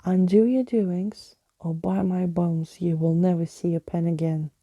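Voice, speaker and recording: A woman's voice: fairly low pitch, fairly even intonation, measured pace, mellow, no room sound. A woman voicing a stern, formal male skeleton hero with a declamatory, commanding manner in a storybook.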